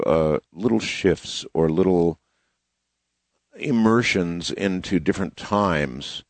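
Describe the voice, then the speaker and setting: A middle-aged man with a fairly low voice hosts a late-night radio call-in show. He muses slowly and thoughtfully, often restarting his sentences. The sound is close and dry. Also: no echo. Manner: thoughtful, musing, halting with restarts. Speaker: radio talk show host taking calls.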